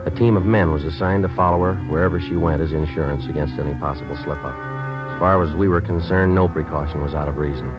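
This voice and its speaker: An old muffled radio recording of a stoic male police detective with a very low voice. He narrates the crime drama in a terse, deadpan way. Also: fast, over music. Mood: deadpan, grim. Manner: deadpan, terse, matter-of-fact. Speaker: police sergeant narrator and lead character. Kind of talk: 1950s radio police drama, clipped first-person narration.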